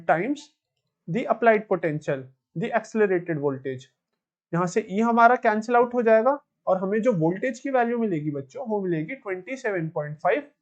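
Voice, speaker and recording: Slow, high-pitched Indian man teaching. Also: dull audio. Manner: addresses students directly, explanatory. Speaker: physics teacher. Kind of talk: step-by-step lesson explanation mixing Hindi and English.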